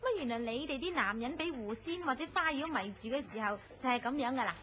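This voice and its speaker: A woman's voice: high-pitched, animated, fast, pushed and bright. An actress playing a pert young woman in a Hong Kong Cantonese radio drama. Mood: curious, teasing. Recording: muffled lo-fi audio with faint noise.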